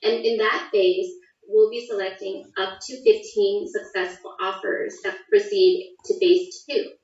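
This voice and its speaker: A woman's voice: mid-range voice, steady tone, a little slow, darkish tone. Methodical woman in an echoey room. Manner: methodical, formal wording. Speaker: government contract specialist interviewee. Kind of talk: formal, prepared-sounding procedural explanation. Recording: echoey, distant, dull sound.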